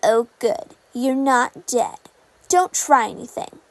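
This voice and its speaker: A woman's voice: fairly high pitch, expressive, slow pace. A woman voicing a grudging young male villain in a story, with a curt, dismissive drawl.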